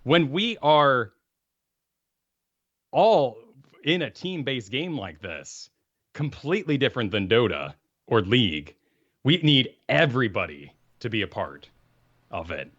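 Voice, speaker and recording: A man co-hosting a gaming podcast, talking energetically and assertively in a deep voice into a studio microphone. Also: very animated, a little slow, pauses often, fairly bright tone, dry recording, dull audio. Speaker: podcast co-host. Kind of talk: casual gaming podcast talk.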